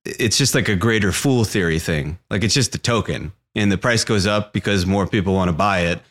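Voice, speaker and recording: A man's voice: lowish voice, fairly quick, fairly bright tone. A young man co-hosting a podcast, explaining crypto in a casual chat, often checking in with "right?".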